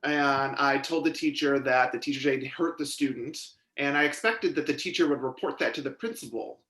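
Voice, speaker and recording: A man giving steady, measured first-person voice interpretation of a deaf witness's testimony at a legislative hearing. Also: mid-range voice, echoey room. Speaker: sign language interpreter voicing a deaf witness. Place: hearing room.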